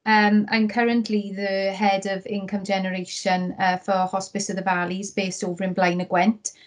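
Sincere Welsh woman, flat steady voice. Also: medium pitch, normal speed. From Wales. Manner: sincere, many um fillers. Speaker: charity marketing head, panel guest. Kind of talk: panel discussion on a video call. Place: indoor room. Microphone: video call.

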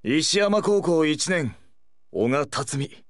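A man playing a brash, hot-headed teenage delinquent brawler with rough, cocky speech in an anime fighting game. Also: fairly high pitch, expressive, slow pace, no room sound, studio quality. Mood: fierce, cocky.

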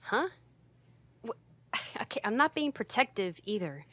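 Woman acting a slow-paced, flustered tsundere roommate. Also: a little high, very animated, pushed and bright, no echo, heavily acted. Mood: flustered, defensive. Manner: stammering, defensive, huffy, hesitant. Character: flustered tsundere female roommate, easily embarrassed, secretly fond. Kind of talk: ASMR roleplay acting.

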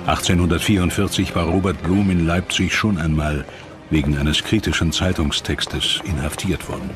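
Deep male documentary narrator over music. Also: fairly even intonation, moderate pace. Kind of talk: scripted narration. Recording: clear music bed behind voice.

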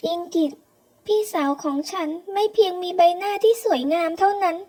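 A woman's voice: extremely high pitch, fairly quick, warm tone. A woman voicing a kind, chatty young girl, an earnest childlike younger sister, in a comic read-aloud. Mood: admiring.

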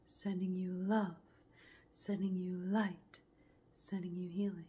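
A woman in her thirties speaking as an ASMR reiki healer, in a soothing, breathy soft voice that often drops toward a whisper, repeating gentle affirmations. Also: fairly low pitch, flat delivery, slow pace. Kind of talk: slow ASMR guided relaxation, partly whispered and chanted. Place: small home room, indoors.

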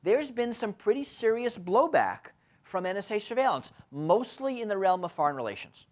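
Man, 50s, university lecturer; animated, rising and falling delivery, peppers points with tag questions; lapel mic. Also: sits high, moderate pace. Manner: frequent tag questions, lively emphatic delivery. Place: lecture room with window blinds.

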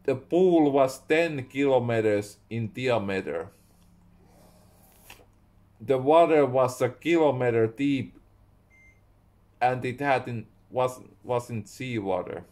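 Finnish-accented young man, a writer slowly reading his own tale, halting and self-correcting, mid pitch. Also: darkish tone. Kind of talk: slow reading of a story aloud. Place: small room indoors at home.

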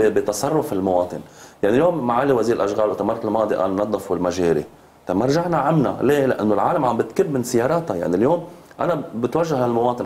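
Middle-aged man, Lebanese accent, rapid-fire delivery, mellow tone, insistent interview guest, noisy background. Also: lowish voice. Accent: Lebanese Arabic. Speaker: road safety advocate, TV guest. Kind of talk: studio interview. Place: TV studio. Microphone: lapel mic.

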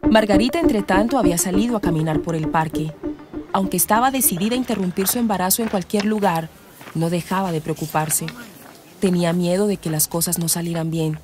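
A woman's voice: fairly low pitch, a little fast, over music, natural voice. A Colombian woman narrating a scripted radio soap opera as its storyteller.

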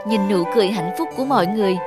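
A woman's voice: mid-range voice, very fast, over loud music, lightly acted. A woman voicing a kind young daughter in a cartoon. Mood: happy. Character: kind young daughter giving gifts to villagers. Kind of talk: cartoon dubbing.

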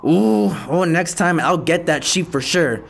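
Puppet-show wolf villain, played by a man: highish voice, wildly animated, vain, gullible, blustering. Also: unhurried, creaky, exaggerated voice. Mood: indignant, excited. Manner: blustering, exclamatory, theatrical. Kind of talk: children's puppet show character acting.

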